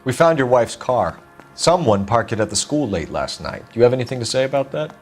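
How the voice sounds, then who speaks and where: Actor as an earnest, animated police detective. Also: male voice, mid-range voice, brisk, soft background music, lightly acted. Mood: pressing, stern. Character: earnest, driven 1940s police detective, male. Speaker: video game voice actor. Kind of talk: dramatic period crime game acting.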